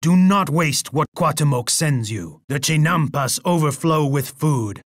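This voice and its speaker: A man acting a proud, bloodthirsty Aztec emperor for a video game, with a husky voice and an imperious, boastful manner. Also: on the high side, expressive, unhurried, dry recording, clean studio sound. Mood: solemn resolve. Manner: imperious, boastful, speaking of himself in third person. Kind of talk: video game character voice lines.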